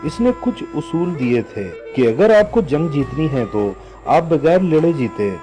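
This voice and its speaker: A Pakistani man hosts a news commentary in a fairly high, soft-edged voice. His intonation is very animated and he speaks emphatically to his viewers over a music bed. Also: unhurried. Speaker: news channel host.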